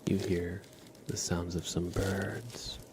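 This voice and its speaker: A man speaking gently and softly, at times whispering, as an ASMR livestreamer guiding a relaxing scene. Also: deep voice, steady tone. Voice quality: soft, partly whispered. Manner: gentle, unhurried, casual. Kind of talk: ASMR, soft relaxing talk and guided visualization. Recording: clear crinkling and ambient noise.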